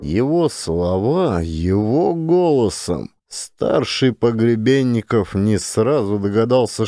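A man's voice: lowish voice, mellow, no echo, studio quality. A man narrating a satirical story as a scripted audiobook.

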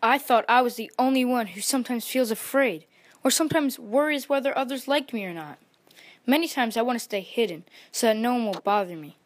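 A woman's voice: a little high, brisk, natural voice. A woman voicing a vain, insecure, grouchy little male chameleon for a children's storybook, boastful and defensive in manner. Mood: anxious, confiding.

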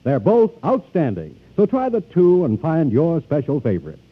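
Male vintage radio pitchman; higher voice, slightly rough, thin tinny band. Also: huge pitch swings, moderate pace. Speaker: radio commercial announcer. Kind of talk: 1940s scripted radio advertising. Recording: narrow, tinny 1940s radio recording.

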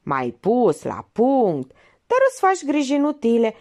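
A sarcastic older lady detective pokes at a suspect with pointed, mocking jabs, voiced by a woman reading a storybook. Her voice sits high and swings widely in pitch. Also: huge pitch swings, a little mellow. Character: sharp sarcastic older female amateur detective.

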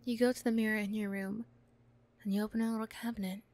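A woman's voice: mid-range voice, level tone, quick pace, a little mellow. A woman reading scripted second-person narration for an ASMR audio roleplay.

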